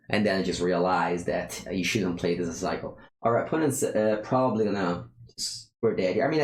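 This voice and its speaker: A young Italian-accented man with a deep, low voice talks through his card game live, stumbling and restarting as he thinks aloud. His voice carries a roomy echo. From Italy. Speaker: streamer playing a card game. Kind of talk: casual gameplay commentary.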